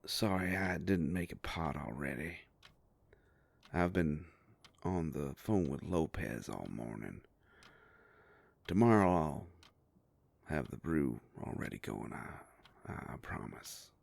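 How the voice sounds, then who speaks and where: A man playing a sardonic, grumbling werewolf private investigator with a soft heart, in an audio roleplay drama. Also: lively intonation, slow, long pauses, no room sound, heavily acted. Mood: friendly, easygoing. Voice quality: low gravelly voice.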